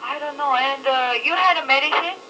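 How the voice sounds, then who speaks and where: Noisy distant phone line, restaurant worker, non-native English accent, bright voice, halting, polite, confused. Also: high-pitched. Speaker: restaurant worker taking a customer call. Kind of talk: unscripted phone conversation.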